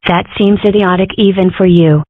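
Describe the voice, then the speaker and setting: A woman's voice: medium pitch, fairly even intonation, normal speed, no room sound, close to an everyday voice. Muffled, wry young cartoon fox girl played by a woman. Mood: mock dramatic. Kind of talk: comic dub acting. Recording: muffled narrow-band lo-fi audio.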